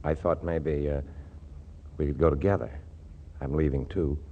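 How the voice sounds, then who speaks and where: An actor playing a mild, unassuming ex-soldier scientist, understated and matter-of-fact, in a 1940s radio comedy. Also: male voice, a bass voice, steady tone, normal speed, warm tone, slight background noise. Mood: mild, earnest. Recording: muffled 1940s radio recording.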